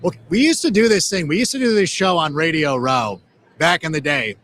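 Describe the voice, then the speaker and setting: A man from Southern California hosting a casual live sports podcast, talking in an excitable, rambling way. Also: on the high side, fairly quick. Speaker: podcast host. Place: live podcast table at a busy convention media area.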